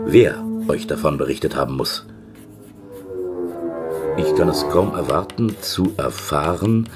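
Audio drama villain: ancient sinister occultist, very low, swooping delivery, archaic and formal, music underneath. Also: male voice, full character voice. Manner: formal, archaic, deliberate diction. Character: sinister centuries-old male occultist dictating a secret letter. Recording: music behind the voice.

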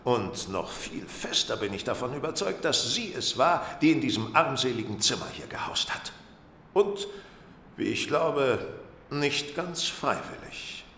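Radio play, roomy sound: self-assured master detective, formal and precise, with animated intonation. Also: male voice, medium pitch, unhurried. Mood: assured, explanatory. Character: keen, self-assured male detective explaining a case. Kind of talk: German radio play acting. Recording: roomy, distant, echoing, faint noise.

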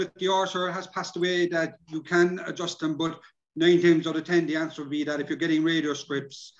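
A man with an Irish accent explaining things casually and haltingly as a workshop speaker on a video call. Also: on the high side, dull audio. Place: indoor room, video call.

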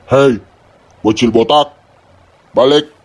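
A woman voicing a brash young fire-bending villain who calls out in a low, bossy voice. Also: takes it slowly, echoey room, distant mic, a crowd in the background. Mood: taunting.

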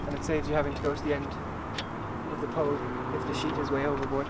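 A man's voice: fairly high pitch, brisk, very noisy. A young man giving a casual, matter-of-fact tour of his small sailboat outdoors in a harbour, recorded by a camera held nearby. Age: young adult. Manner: relaxed, matter-of-fact explaining with fillers. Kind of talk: casual explanatory vlog. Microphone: camera held by someone nearby. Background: music.

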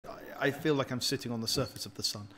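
A man's voice: mid-range voice, fast talker, sharp tone. A man chatting over voice call while gaming, teasing his friends with dry banter. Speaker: gamer. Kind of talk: casual chat over voice call.

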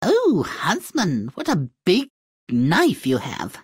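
A woman's voice: on the low side, huge pitch swings, slow pace, breathy edge, no echo, exaggerated voice. A woman voicing a naive, ditzy young fairy-tale princess in a girlish, airy, coy manner for a game.

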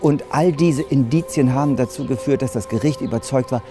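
A man's voice: mid-range voice, brisk. A man in his forties giving a reporter's journalistic account of a court case. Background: music bed.

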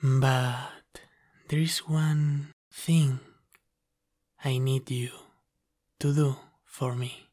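Man voicing a slow, soft, teasing male demon. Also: medium pitch, fairly even intonation. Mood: flirty, coaxing. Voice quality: soft, breathy, often half-whispered. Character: flirty, dominant, teasing male demon from the underworld. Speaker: ASMR roleplay performer. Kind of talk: ASMR roleplay, soft and intimate.

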